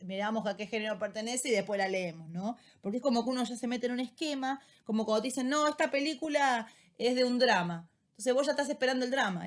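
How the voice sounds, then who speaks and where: A woman teacher with an Argentine accent, explaining a text in a relaxed lecture style and often checking in with short tag questions. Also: a little high. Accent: Argentine Spanish. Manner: casual rioplatense slang. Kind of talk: recorded lecture summary.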